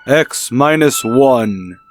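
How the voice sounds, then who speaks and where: An actor playing a grave, dramatic announcer and narrator of retro sci-fi radio, solemn and portentous. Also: male voice, mid-range voice, animated, drawn-out words. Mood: grand announcing.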